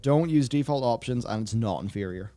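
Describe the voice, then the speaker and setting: A man's voice: medium pitch, animated, a little fast, warm tone, soft background music. A man hosting a casual gaming discussion, reading and answering viewer comments in a rambling way as he thinks aloud.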